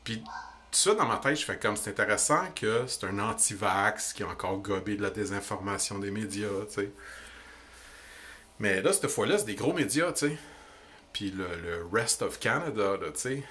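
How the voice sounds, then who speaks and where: A young man with a Québécois French accent, ranting indignantly into the camera about politics in quick, emphatic bursts. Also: fairly low pitch, bright, forceful tone. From Quebec. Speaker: vlogger. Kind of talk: heated political rant.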